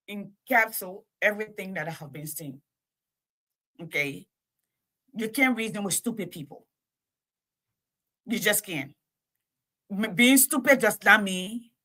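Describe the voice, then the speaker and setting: A woman hosting a call-in talk show, delivering an impassioned monologue with repeated phrases and drawn-out emphasis. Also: mid-range voice, a bit bright. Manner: emphatic, repeats phrases, stretches key words. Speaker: host.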